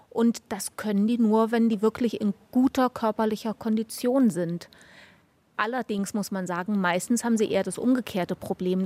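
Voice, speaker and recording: A woman ornithologist, a guest expert, explaining bird facts to children clearly and patiently. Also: medium pitch, darkish tone.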